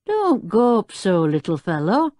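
A woman's voice: medium pitch, huge pitch swings, measured pace, warm tone, no echo, clean studio sound, voice pushed up. Woman voicing a hearty old circus grandfather. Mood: boastful, friendly. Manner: hearty, confident, folksy. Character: fierce-looking but good-natured old circus-owner grandfather.

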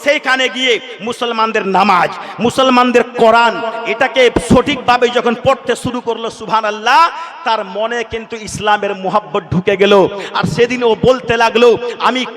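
A man's voice: extremely high pitch, steady tone, normal speed. A man preaching a fiery, declamatory Islamic sermon, repeating phrases and calling on listeners to respond. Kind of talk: fiery public religious sermon at a waz mahfil. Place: large religious gathering, over a loudspeaker. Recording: loudspeaker PA with long hall reverb.

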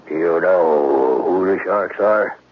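An actor in a 1970s radio drama playing a dogged police detective with a gravelly, husky voice and a blunt, probing manner. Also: male voice, low-pitched, slow pace, full character voice. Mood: skeptical. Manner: blunt, probing, hesitant starts. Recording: thin, phone-like vintage radio recording.

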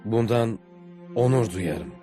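A man's voice: lowish voice, animated, takes it slowly. A man playing an earnest, adventurous traveller who formally narrates his journeys in a dubbed cartoon. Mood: respectful. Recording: music behind the voice. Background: music.

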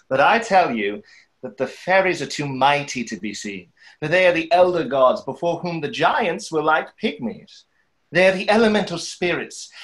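Young man acting mysterious fairy stranger, male, fairly high voice, lofty, riddling, poetic stage delivery. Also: close to an everyday voice. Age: young adult. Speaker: actor in a play reading. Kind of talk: theatrical play reading. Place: small room at home, on a video call.

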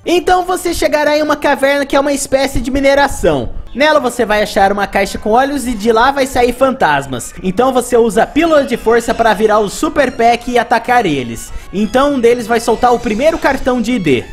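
A young Brazilian-accented man with a high voice narrates a video game. The style is casual storytelling, with music playing behind him. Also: expressive, brisk, few pauses. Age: young adult.

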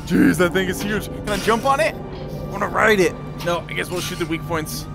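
A man giving excited let's-play commentary in a playful, exclamatory way, breaking into goofy sound effects and sing-song. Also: sits high, normal speed, vocal fry. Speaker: gameplay video host. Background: video game music.

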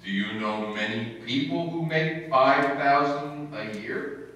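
A man's voice: mid-range voice, takes it slowly, pushed and bright. A man playing a long-suffering, sarcastic husband who narrates an old-time radio comedy on stage. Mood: sardonic. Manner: dry, sarcastic. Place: live stage in an indoor hall, distant camera. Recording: echoey noisy hall, far from microphone.

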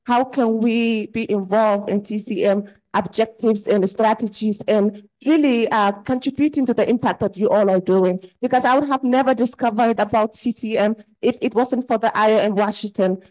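A woman's voice: on the high side, fairly even intonation, normal speed, hardly any pauses, darkish tone, low-quality recording. Earnest woman from Somalia at a conference. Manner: earnest, personal, grateful. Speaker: diaspora advocate asking a question. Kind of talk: spontaneous audience question at a conference. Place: conference hall.

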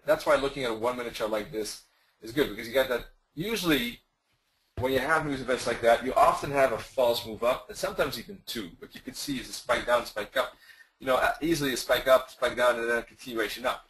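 A man presenting a trading webinar in a loose, conversational way, losing his voice and clearing his throat now and then. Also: medium pitch, a bit bright, low-quality recording. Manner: loose, conversational, with many fillers and restarts. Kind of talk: screen-share webinar explanation.

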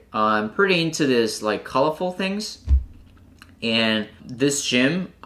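A young Korean man with a Korean accent, answering viewer questions casually to camera in a small room, pausing to think with fillers. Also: medium pitch. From South Korea. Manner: relaxed, thinking aloud with fillers. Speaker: climbing vlogger.

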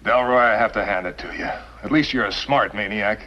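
A man's voice: lowish voice, fairly quick, a little hiss or hum, lightly acted. An American actor in his thirties playing a wary, doubtful outlaw henchman in a 1950s TV western. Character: wary male outlaw henchman, doubtful but willing accomplice. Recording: dull old 1950s television soundtrack.

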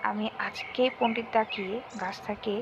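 A woman's voice: medium pitch, steady tone, moderate pace, noisy background, lightly acted. A woman playing a weary, exasperated village mother in a cartoon folk tale, given to scolding. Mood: alarmed, resolute.

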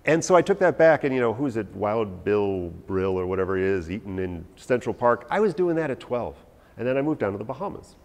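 A man in his forties, an herbalist, giving a lively, anecdotal and self-deprecating talk to a live audience in a large hall, recorded from a far camera. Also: on the low side. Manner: animated, anecdotal, self-deprecating, gesturing while talking. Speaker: herbalist lecturer. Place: large hall with a stage, indoors. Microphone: no visible mic, far camera.

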